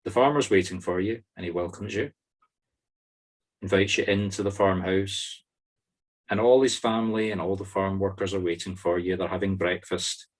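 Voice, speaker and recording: A Scottish man with a low voice leads a guided meditation. He gives short, soothing instructions and leaves pauses between them. The sound is that of a video call. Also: steady tone. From Scotland. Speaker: spiritual medium leading a meditation group. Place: online video-call circle.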